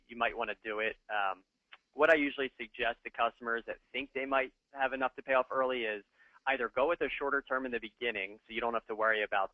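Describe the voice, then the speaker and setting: A man explaining equipment financing in a steady, plain way during a webinar. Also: mid-range voice, no echo. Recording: tinny phone-like line.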